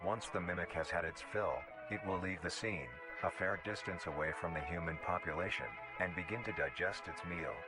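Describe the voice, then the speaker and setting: A man reading scripted narration in the style of a nature documentary, formal, measured and matter-of-fact. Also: low-pitched. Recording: music behind the voice. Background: clearly audible music.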